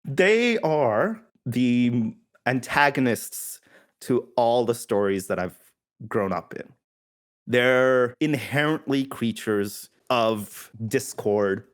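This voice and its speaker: A man's voice: mid-range voice, expressive, slow, clear, resonant tone. Man from India explaining, thoughtful and self-correcting. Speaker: guest. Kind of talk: casual podcast discussion.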